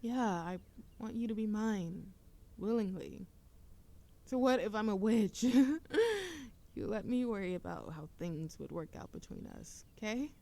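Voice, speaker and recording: A woman's voice: mid-range voice, animated, measured pace, dark tone. A woman playing a playful young witch smitten with a childhood friend, teasing and affectionate in an intimate romantic audio roleplay. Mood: earnest, tender. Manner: teasing, affectionate, breathy laughs and whispers.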